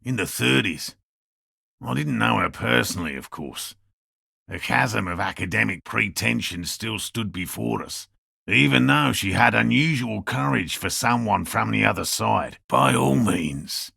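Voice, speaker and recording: Game role: proud old male cryptozoologist. Raspy, throaty and sharp, curt pedantic delivery, close dry sound. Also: fairly low pitch, lively intonation, unhurried, no echo, full character voice. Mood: dismissive, scholarly. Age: older. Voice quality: gravelly, throaty. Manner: pedantic, curt, precise academic diction. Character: proud, prickly old cryptozoologist, devoted field researcher. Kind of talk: video game character dialogue.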